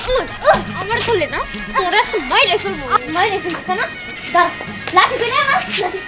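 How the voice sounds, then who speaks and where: A performer voicing a jealous, quick-tempered young cartoon wife, whining and combative, with a colloquial Bangladeshi accent. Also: very high voice, lively intonation. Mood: furious. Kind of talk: comic cartoon bickering. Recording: loud music bed behind the voice.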